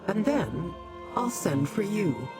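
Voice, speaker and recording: Video game immortal: lofty, imperious, mid-pitched, very varied intonation. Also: very animated, slow pace, vocal fry, loud music behind the voice, heavily acted. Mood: lofty interest. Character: lofty immortal being, condescending toward mortals, intrigued. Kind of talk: scripted video game dialogue.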